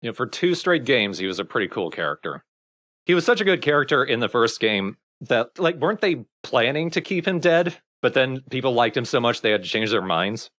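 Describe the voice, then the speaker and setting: A man's voice: mid-range voice, sing-song, brisk, fairly bright tone. A man hosting a let's play, chatting casually about games in a wry, bluntly critical way. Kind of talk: casual gaming commentary with friends.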